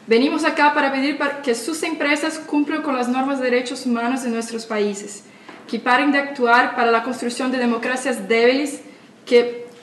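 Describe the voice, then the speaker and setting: A woman's voice: on the high side, distant mic, a little hiss or hum. Brazilian woman speaking in an echoey hall. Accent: Brazilian Portuguese. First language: Portuguese. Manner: earnest, declamatory, some slips and restarts. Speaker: activist speaker. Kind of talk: formal speech at an event.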